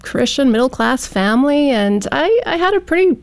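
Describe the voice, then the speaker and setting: A woman's voice: high-pitched, expressive, normal speed. A Canadian woman speaking as an interview guest, telling personal stories in a conversational way. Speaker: guest, teacher and author.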